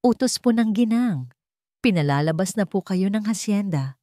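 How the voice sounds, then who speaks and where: A woman's voice: mid-range voice, animated, normal speed, dark tone, dry recording, studio quality, voice pushed up, lightly acted. A woman voicing a stern male mansion guard who follows orders, polite but without emotion, in a dramatic story. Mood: emotionless.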